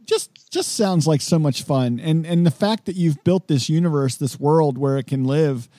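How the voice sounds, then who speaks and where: Male host, casual interview chat, mellow timbre, dry studio-like sound, a little self-deprecating. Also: on the high side, normal speed, no room sound. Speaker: podcast host.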